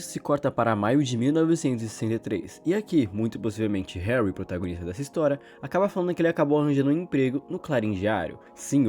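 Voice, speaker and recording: Brazilian male storyteller-host, informal and conversational, brisk delivery, music underneath. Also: medium pitch, dark tone. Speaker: YouTube channel host and narrator. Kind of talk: informal video narration retelling and commenting on horror stories. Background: background music.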